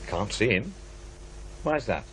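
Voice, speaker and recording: An actor playing a dry, skeptical, busy paranormal researcher in naturalistic radio drama dialogue. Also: male voice, lowish voice, sing-song, a little slow, a bit bright, a little hiss or hum, poor audio. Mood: patient, probing. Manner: dry, questioning, unimpressed.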